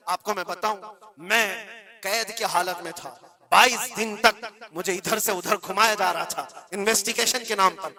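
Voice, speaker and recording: High-pitched, forceful Indian male preacher. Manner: emphatic, exhorting, repeats phrases for weight. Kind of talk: impassioned religious sermon.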